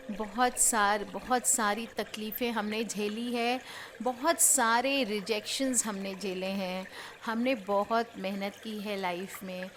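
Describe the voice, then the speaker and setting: An Indian woman leading a spiritual healing guided meditation in measured short phrases with pauses between them. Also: medium pitch. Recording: clear background noise of trickling water.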